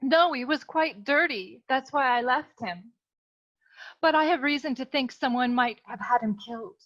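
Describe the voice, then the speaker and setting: A woman acts a troubled noir dame in a parody radio play, earnest and pleading. Her voice sits fairly high, and the recording is slightly dull and lo-fi. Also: normal speed, fairly bright tone. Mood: worried, earnest. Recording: dull, muffled lo-fi audio.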